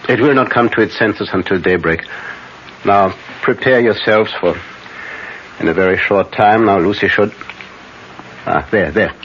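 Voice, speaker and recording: An actor playing a commanding older professor who hunts vampires, speaking urgently and solemnly in halting instructions in an old-time radio drama. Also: male voice, on the low side, natural voice. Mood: tense, reassuring. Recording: muffled, very noisy old radio recording.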